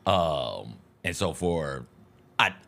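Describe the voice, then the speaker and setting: A man's voice: rumbling low, big pitch swings, extremely slow, creaky, a bit bright. A man co-hosting a casual sports talk show, talking in combative, slangy banter with mock rants and swearing.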